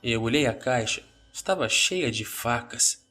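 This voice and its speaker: A man's voice: medium pitch, expressive, a little slow, pushed and bright. A man with a Brazilian accent reading a scripted first-person horror story as narrator. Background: background music.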